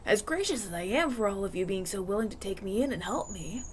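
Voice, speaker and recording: A man's voice: sits high, animated, fast talker, slight background noise. A man playing a polite, anxious young runaway prince in an audio roleplay, stammering and hesitant as he talks. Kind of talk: ASMR audio roleplay, acted one-sided dialogue.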